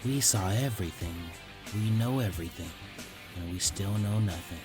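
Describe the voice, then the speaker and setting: A man voicing a deranged male shadow clone in a story, eerie, monotone and zombie-like. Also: on the low side, normal speed, music in the background, voice pushed down, lightly acted. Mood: dazed, eerie.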